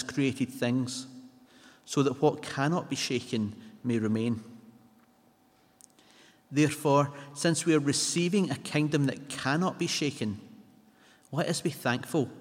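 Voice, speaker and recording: A man reading a Bible passage aloud in a measured, steady way. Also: medium pitch. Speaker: scripture reader. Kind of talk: scripture reading. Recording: reverberant church hall.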